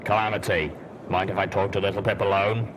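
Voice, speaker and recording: Guy doing a grim blunt undead pony soldier for a story, slow n solemn, really deep, noisy audio. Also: steady tone, natural voice. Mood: stern. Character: grave, blunt male ghoul pony soldier.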